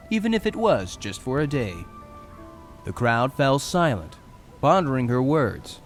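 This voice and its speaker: Man narrating with a lively, varied pitch. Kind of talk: scripted audio drama narration.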